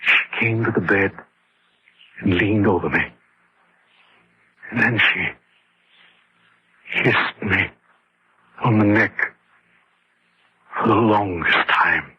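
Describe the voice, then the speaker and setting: A man's voice: on the low side, slow, long pauses, breathy edge, full character voice. An actor playing an exhausted, grieving man who narrates his haunting in a confessional way in an old radio horror drama. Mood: numb, haunted. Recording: dull lo-fi old radio recording.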